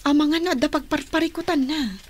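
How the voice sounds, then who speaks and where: A woman's voice: sits high, brisk, natural voice. A woman playing a concerned, inquisitive young lady of the house in a comedy radio drama, earnest and questioning.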